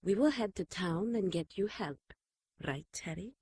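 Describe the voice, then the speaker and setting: A woman's voice: mid-range voice, expressive, a little slow, a little mellow, slightly rough, dry recording, studio quality. A woman playing a caring, earnest nun in an audio drama, soothing and insistent. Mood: weary exasperation. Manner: soothing, earnest, exasperated at times.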